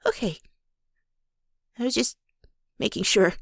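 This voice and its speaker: A woman's voice: medium pitch, measured pace, creaky, fairly bright tone, breathy edge, no echo, studio quality, heavily acted. A woman voicing a brash young tomboy pegasus mare in a cartoon comic dub, cocky but shaken. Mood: wary.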